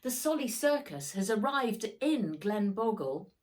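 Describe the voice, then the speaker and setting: An excited young boy, played by a woman reading aloud from a children's storybook. The delivery is eager and bouncy, with pitch that swings up and down freely. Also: measured pace. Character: excited, boisterous young boy on a snowy farm.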